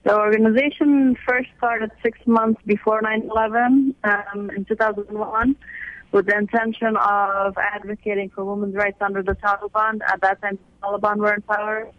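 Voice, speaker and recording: A high-pitched man, born in Afghanistan and raised in the United States, answers radio interview questions about his aid work. He speaks earnestly in a flat, even tone and often restarts his sentences. The sound is dull and lo-fi, with a dry, close feel. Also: normal speed, no room sound. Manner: earnest, explains step by step with frequent restarts. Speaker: leader of a women's aid organization. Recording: dull lo-fi audio.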